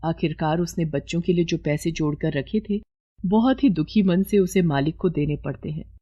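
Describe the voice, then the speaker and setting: A woman's voice: lowish voice, normal speed, mellow, dull audio. A woman narrating a scripted moral story as storyteller.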